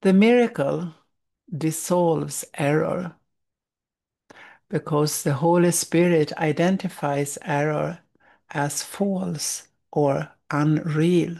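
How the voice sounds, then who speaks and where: Slow, gravelly Swedish-accented woman. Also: low voice. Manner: thoughtful, searching for words, soft restarts. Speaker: spiritual study teacher. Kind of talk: reading aloud and reflecting on a spiritual text.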